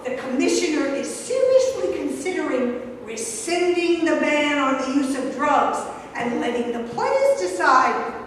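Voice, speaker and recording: A woman playing an earnest, matter-of-fact TV sports co-anchor in a staged reading, reading scripted news. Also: high voice. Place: live staged reading in a reverberant room. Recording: very echoey hall, far mic, faint noise.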